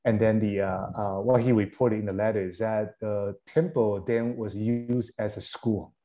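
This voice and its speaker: A man's voice: fairly low pitch, fairly even intonation, normal speed, mellow. A man speaking on a video call panel, recalling archive details and checking himself with "right?". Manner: recalling, questioning with tag "right?". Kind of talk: panel discussion.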